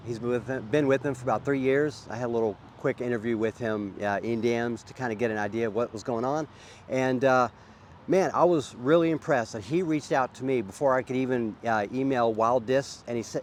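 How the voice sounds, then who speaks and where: A man's voice: mid-range voice, a little hiss or hum. A man with a sore throat from a cold, chatting casually as host of a disc golf vlog on an outdoor course. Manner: easygoing, chatty, frequent uhs.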